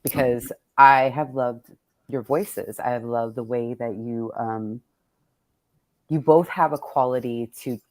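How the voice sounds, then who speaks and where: A woman chats as the host of an event in a casual, effusive way. Her speech is informal and quick to break into laughter. The sound is close and dry, with very little room. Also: extremely low pitch, steady tone, no room sound. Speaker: author hosting a virtual event. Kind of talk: casual chat.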